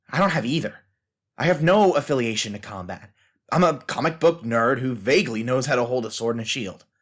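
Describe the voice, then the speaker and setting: A man's voice: mid-range voice, lightly acted. A man playing a thoughtful, self-doubting teenage novice fighter in an audio drama, earnestly reasoning things out. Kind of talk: fan audio drama acting.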